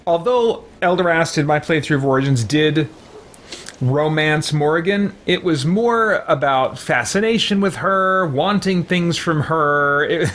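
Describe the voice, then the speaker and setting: A man's voice: a little high, a little slow, a bit bright. A man in his thirties giving casual gameplay commentary, thinking aloud as he plays. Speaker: gaming video host. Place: book-lined room at home.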